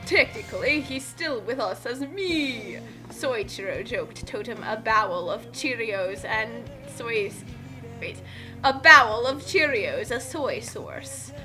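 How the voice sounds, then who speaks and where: A woman casually reading fan fiction aloud and chatting, rambling excitably and stumbling over words. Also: a little high, slow pace, music in the background.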